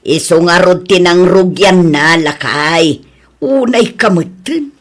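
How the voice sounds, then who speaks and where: A man's voice: sits high, slow. A man playing a gossiping villager in an audio drama, insistent and chiding as he talks. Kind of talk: Ilocano audio drama dialogue.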